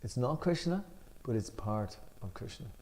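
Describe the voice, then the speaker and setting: Mellow-voiced Irish man leading a study. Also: mid-range voice, slight background noise. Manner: emphatic when reading, then relaxed and unhurried with fillers. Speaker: scripture discussion leader. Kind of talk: reading a commentary aloud, then explaining it.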